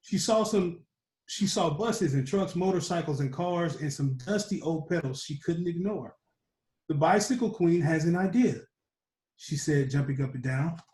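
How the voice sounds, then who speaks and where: A man reading a children's picture book aloud as a storyteller, with animated emphasis. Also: on the high side, normal speed. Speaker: storytime reader. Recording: roomy echo.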